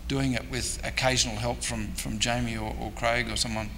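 A man's voice: medium pitch, flat delivery, normal speed, fairly bright tone. A middle-aged man, a modest historian answering questions after a talk, repeating himself as he goes. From Australia. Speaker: historian and archivist giving a talk. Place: hotel conference room. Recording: echoey hall with faint noise.

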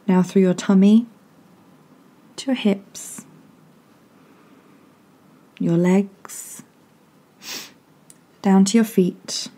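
A woman softly and gently guiding a meditation in a calming, breathy voice with long pauses. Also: mid-range voice. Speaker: meditation guide. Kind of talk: guided meditation, soothing scripted narration.

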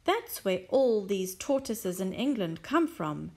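Brief: woman voicing a shy older man, hushed and earnest, slow-spoken. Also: sing-song, heavily acted. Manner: lower, soft and solemn.

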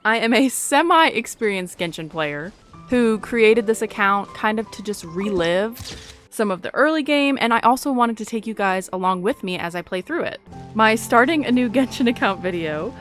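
A young woman chats casually through a game playthrough. Her medium-pitched voice is playful and gushing, often cutting herself off, with faint music behind. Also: expressive, normal speed. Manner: gushing, self-interrupting, playful. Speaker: gaming video creator. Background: soft game music.

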